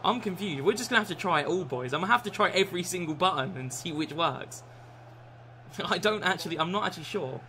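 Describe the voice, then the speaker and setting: A young man in his twenties with a croaky voice, chatting and swearing through a live football game stream from a small room. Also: high-pitched, expressive, fast talker, a little hiss or hum. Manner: swears often, shouts and laughs at the game. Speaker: gaming streamer. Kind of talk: live gameplay chat.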